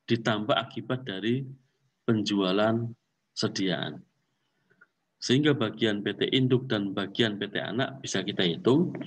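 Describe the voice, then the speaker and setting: Low-voiced man giving a methodical lecture. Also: distant mic, muffled recording. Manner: methodical, repeats figures and terms, mixes in English accounting terms. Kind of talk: accounting lecture walkthrough.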